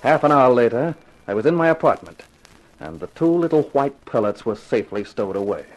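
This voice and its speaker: A man's voice: medium pitch, animated, brisk, soft background music, lightly acted. Man acting a wry hard-boiled radio detective. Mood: wry narration. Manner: wry, slangy, tough. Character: hard-boiled wisecracking male private detective narrating his case. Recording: noisy vintage radio recording.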